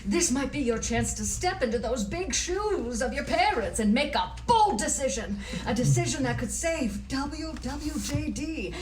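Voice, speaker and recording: A woman's voice: high-pitched, animated, unhurried, few pauses, darkish tone. A woman playing a pushy, teasing best friend and co-worker in a musical comedy table read. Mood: urging. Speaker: actor in a script table read. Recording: echoey room, distant mic, noisy background.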